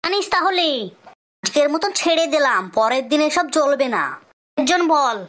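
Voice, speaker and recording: A woman's voice: high-pitched, animated, moderate pace. A woman voicing an exasperated, scolding male schoolteacher in a comic cartoon dub. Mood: stern. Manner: loud, scolding, exclaiming questions.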